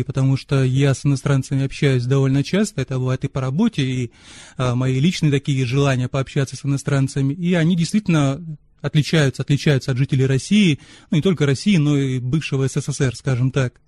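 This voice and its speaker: A man's voice: medium pitch, fast talker, mellow, dry recording. A man appearing as a podcast guest, speaking in a friendly, expansive way and telling stories at length. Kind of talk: casual podcast conversation. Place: remote call from Moscow.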